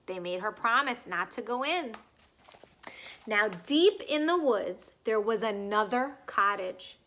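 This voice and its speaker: A woman reading a story aloud for young children in an animated, emphatic way, pausing for question asides. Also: mid-range voice. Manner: animated, emphatic, drawn-out key words. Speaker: storybook reader.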